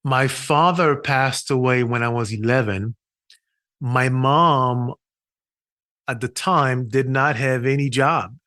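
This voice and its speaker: A man's voice: mid-range voice, takes it slowly, fairly bright tone, no echo, clean studio sound. A middle-aged man from Haiti, a physician, telling his story in an earnest way with restarts in a podcast interview. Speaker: physician and patient experience consultant.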